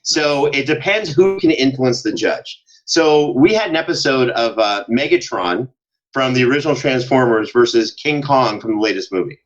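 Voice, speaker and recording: A man chatting casually as a podcast guest, telling animated stories and acting out the exchanges he quotes. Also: mid-range voice, normal speed, dull audio. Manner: banters, acts out quoted exchanges. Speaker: podcast guest, show co-host and audio drama producer.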